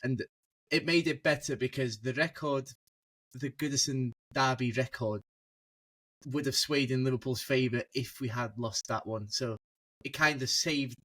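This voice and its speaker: A man's voice: medium pitch, normal speed, a bit bright, no echo. A young man as a guest on a casual football podcast, telling stories animatedly and laughing mid-sentence over a video call.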